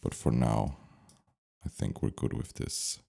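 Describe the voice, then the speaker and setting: A man giving an unscripted software tutorial, thinking aloud step by step as he instructs. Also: measured pace.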